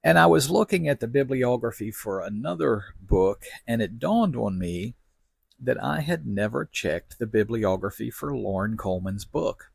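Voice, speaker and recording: A man hosting a podcast, delivering semi-scripted commentary in a folksy, wry manner with deliberate phrasing. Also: mid-range voice, expressive, gravelly, husky, no echo. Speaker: podcast host.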